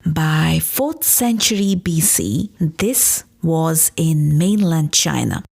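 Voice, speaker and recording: A woman narrating a scripted story as a podcast host, in a measured, expressive explanatory way. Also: sits low, creaky, slightly rough. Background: background music.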